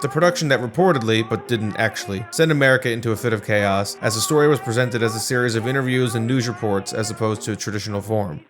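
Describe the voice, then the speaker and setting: A man's voice: fairly low pitch, quick pace, hardly any pauses, over music. A man narrating a scripted video essay.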